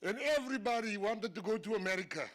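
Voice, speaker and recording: A man's voice: sits high, expressive, fast, a bit bright. A South African man whose first language is Afrikaans, a government minister giving a humorous after-dinner speech, telling stories and laughing at his own jokes. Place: banquet hall.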